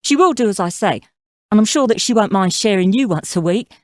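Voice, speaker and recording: A woman's voice: medium pitch, lively intonation, brisk, no room sound, clean studio sound, lightly acted. Woman acting a flirtatious, scheming mother-in-law. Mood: persuasive, assured. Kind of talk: audio drama character acting.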